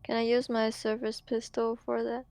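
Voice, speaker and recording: A woman's voice: a little high, monotone, moderate pace, darkish tone, no echo. A woman playing a tabletop game, speaking hesitantly with ums and restarts. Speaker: player. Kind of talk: casual tabletop game chat.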